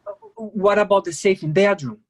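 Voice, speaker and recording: A man's voice: high voice, moderate pace, natural voice. A man playing a desperate foreign fugitive masseur in a staged play reading, pleading in clipped broken English. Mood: coaxing.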